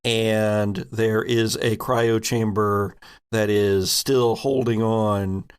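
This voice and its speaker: Creaky-voiced man running a tabletop game. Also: on the low side, level tone, very slow. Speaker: game master. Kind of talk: casual tabletop game narration.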